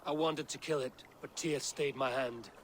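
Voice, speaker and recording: A man voicing a proud, suspicious god-king in a video game, speaking gravely and with command. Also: mid-range voice, moderate pace, lightly acted. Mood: stern. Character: brooding proud male god-king, stern. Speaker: game character. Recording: game audio with steady noise.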